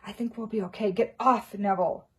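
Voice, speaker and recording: A woman voicing a young schoolboy hero, speaking in hushed, urgent tones. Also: medium pitch, fairly even intonation, a little fast, slightly rough.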